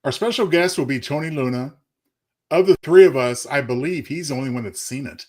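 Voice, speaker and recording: Wordy male co-host, medium pitch. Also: brisk, darkish tone. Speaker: radio stream co-host. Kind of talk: casual live show chat.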